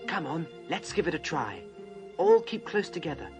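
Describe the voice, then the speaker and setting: An earnest, well-mannered teenage boy taking charge as the eldest. His lightish high voice moves expressively, with music behind it in a children's fantasy drama. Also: very animated, moderate pace. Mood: earnest, questioning.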